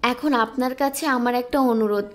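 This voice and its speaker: A woman's voice: a little high, moderate pace, lightly acted. A woman voicing an earnest, compassionate young married villager in a cartoon, pleading and persuasive. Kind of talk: cartoon voice acting.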